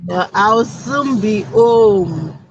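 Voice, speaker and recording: A woman's voice: medium pitch, animated, very slow, dull audio, a little hiss or hum. A woman guest joining a livestream while driving, speaking briefly and casually. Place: car, driving.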